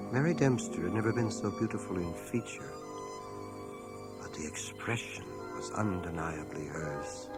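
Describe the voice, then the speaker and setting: An actor playing a reflective, wry older bookish man narrating his life in a radio drama, in a measured literary manner. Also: sits low. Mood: tender, wistful. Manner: literary, measured, dryly ironic. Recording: 1980 radio drama home cassette transfer, music behind.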